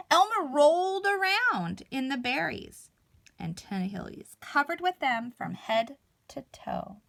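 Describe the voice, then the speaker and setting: A woman reading a children's story aloud expressively, with dramatic pauses and emphasis. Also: high voice, vocal fry, pushed and bright.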